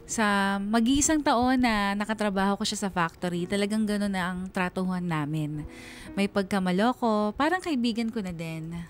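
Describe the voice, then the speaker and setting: A woman's voice: mid-range voice, moderate pace, close to an everyday voice. A woman playing a reflective single mother who narrates her own story in a radio drama. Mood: fond, reflective. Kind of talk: dramatized first-person narration.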